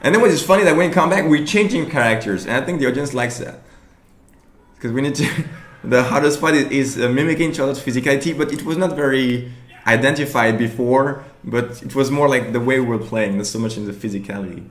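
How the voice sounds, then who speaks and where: A man talking through his own improv show in a relaxed commentary, halting and restarting often, laughing along the way. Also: medium pitch, reverberant. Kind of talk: casual commentary over show footage.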